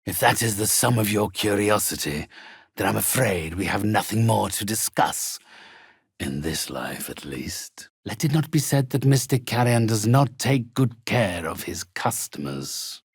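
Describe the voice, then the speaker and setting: An actor playing a sinister, pompous undead necromancer in a video game, speaking formally with cold politeness in a gravelly, husky voice. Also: male voice, on the low side, animated, a little slow, no echo, clean studio sound, heavily acted. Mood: haughty, formal. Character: sinister, haughty undead male necromancer trading in spirits. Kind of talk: scripted video game character acting.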